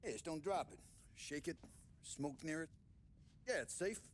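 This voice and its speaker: A joking gangster gunsmith, lively, sing-song delivery. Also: male voice, fairly high pitch, measured pace, a little hiss or hum. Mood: sarcastic. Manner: dry sarcastic joking. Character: wisecracking male bomb maker, sarcastic.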